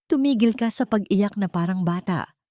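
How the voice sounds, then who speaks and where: A woman's voice: medium pitch, moderate pace, no room sound, studio quality, lightly acted. Woman voicing a cold, commanding rich matriarch. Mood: cold, stern. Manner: calm but cutting, imperious.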